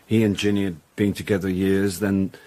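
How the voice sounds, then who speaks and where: An actor playing a hapless middle-aged British man in a radio drama, wry and self-deprecating, with a halting, flustered manner. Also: low voice, level tone, mellow, lightly acted. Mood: nervous, halting. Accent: British English. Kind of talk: naturalistic radio drama acting with confiding narration.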